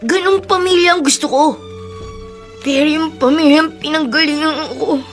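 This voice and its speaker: A woman's voice: high-pitched, steady tone, normal speed, soft background music, natural voice. A woman with a Filipino accent playing a cheeky, tender-hearted young street boy in a radio drama, speaking in an earnest, childlike way. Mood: wistful, sincere.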